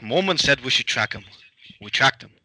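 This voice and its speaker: A man playing a gruff, practical, plain-spoken ranger in an amateur fantasy table read. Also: medium pitch, lively intonation, moderate pace, sharp tone, heavily acted, low-quality recording. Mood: dismissive.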